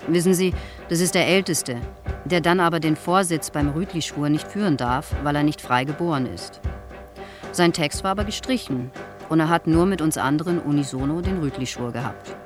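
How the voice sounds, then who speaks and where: A man in his fifties played by an actor gives a witness account in a radio drama, with clear guitar music behind him. He sounds reflective and a little wistful, explaining things in a casual, conversational way. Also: a little high, normal speed, close to an everyday voice. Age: 50s. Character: wistful 58-year-old male theatre actor giving witness statement.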